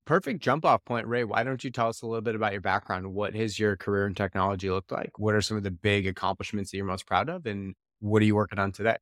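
A man's voice: lowish voice, steady tone, fast, hardly any pauses, fairly bright tone, no echo, studio quality. A man in his thirties hosting a podcast, talking in an upbeat, fluent way as he introduces and questions his guest. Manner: upbeat, fluent, fond of intensifiers. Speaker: podcast host.

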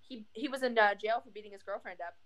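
A woman's voice: mid-range voice, fairly even intonation, fast talker, fairly bright tone. A young woman co-hosting a casual podcast, ranting bluntly with frequent restarts. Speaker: podcast co-host. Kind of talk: casual chat.

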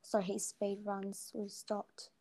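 A woman's voice: medium pitch, completely flat delivery, slow pace, mellow. A young woman guest on a casual gaming interview who talks hesitantly, with many ums and trailing sentences, recorded on a cheap built-in mic. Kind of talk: casual chat.

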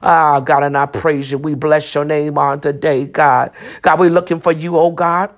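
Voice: medium pitch, flat delivery, moderate pace. Fervent prayer leader with a mid-range voice. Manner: repetitive, exclamatory, punctuated with praise interjections.

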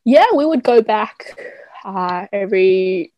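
A young woman, a guest telling stories to a friend in an animated, mock-dramatic way. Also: mid-range voice, slow pace, dry recording, poor audio. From Taiwan, raised in New Zealand from age seven. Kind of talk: casual chat with a friend.

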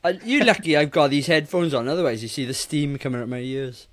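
A man's voice: fairly high pitch, fast. A man in his forties hosting a film review podcast in a casual, bantering style. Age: 40s. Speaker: podcast host.

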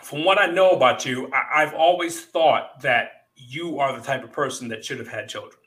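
A man's voice: mid-range voice, moderate pace, fairly bright tone. A man in his fifties hosting a casual podcast chat, rambling and profane, with lots of fillers. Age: 50s. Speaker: podcast host.

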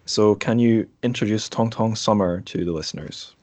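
A low-pitched man with a Scottish accent hosts a podcast interview. He talks casually and ramblingly, and the sound is close and dry, with little room around it. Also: moderate pace, a little mellow, no echo. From Dundee, Scotland.

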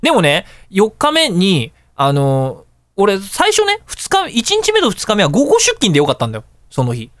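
A man hosting a radio show, chatting casually and telling anecdotes in an animated way, with exclamations and sound-effect words. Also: sits high, normal speed, no echo, clean studio sound.

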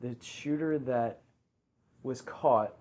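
A man's voice: medium pitch, slow pace, a little mellow. A man chatting as a guest on a casual podcast with friends, explaining points in a plain, matter-of-fact way. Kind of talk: casual chat among friends.

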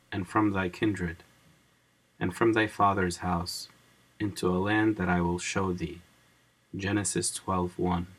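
A man reading a devotional audiobook as narrator, in a measured and solemn manner. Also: sits low, breathy edge, low-quality recording.

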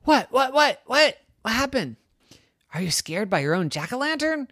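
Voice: mid-range voice, very animated, normal speed, bright, forceful tone, dry recording, clean studio sound, pitched above the performer's own voice, heavily acted. A storyteller voicing an incredulous, protesting young brother in a children's story. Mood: alarmed.

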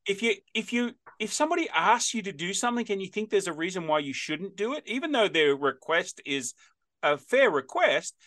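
A man's voice: sits high, expressive, fairly quick, a bit bright. Chatty rambling man in his fifties. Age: 50s. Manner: chatty, rambling storyteller with frequent restarts. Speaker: podcast host. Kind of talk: casual interview chat.

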